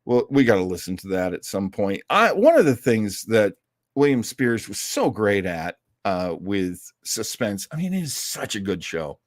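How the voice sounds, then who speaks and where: Animated male host, chatty and dry-sounding. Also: fairly low pitch, normal speed, dry recording. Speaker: host of an online old-time radio show. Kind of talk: casual rambling livestream chat.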